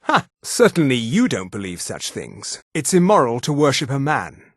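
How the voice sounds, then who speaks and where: An actor playing an arrogant, imperious male high elf in a fantasy video game, with a condescending, clipped manner. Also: wildly swooping pitch, moderate pace, husky, dry recording, studio quality, full character voice. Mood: arrogant, menacing.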